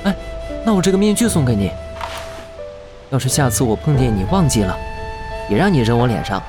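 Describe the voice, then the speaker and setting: A man's voice: mid-range voice, big pitch swings, a little fast, close to an everyday voice. An actor playing a devoted young male fox spirit in a costume fantasy drama, speaking earnestly and tenderly. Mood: fond. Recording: music under the dialogue.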